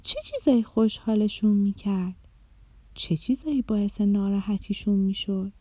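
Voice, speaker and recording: A woman's voice: mid-range voice, dark tone, no echo, natural voice. A woman voicing a curious, wide-eyed little girl in a children's story, asking lots of questions.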